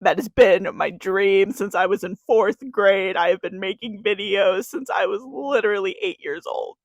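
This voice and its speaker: A 21-year-old woman is crying as she recites an earnest, confessional spoken-word poem to camera, circling back to the same refrain. Her voice has a gravelly, fry-laden edge. The sound is dry and close, with no room echo. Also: mid-range voice, normal speed. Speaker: YouTuber.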